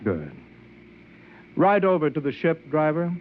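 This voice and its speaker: A man's voice: a little high, sing-song, a little slow, narrow, tinny audio, over loud music. An actor playing a scheming, menacing male demagogue in 1950s radio drama style, insinuating and insistent. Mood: cold command. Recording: thin, dry old radio broadcast recording.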